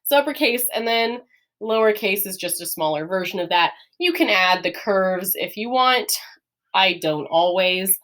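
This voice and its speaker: Young woman teacher, patient step-by-step explainer, medium pitch, light laughs between points. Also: lively intonation, normal speed, fairly bright tone. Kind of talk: classroom lesson explaining letters.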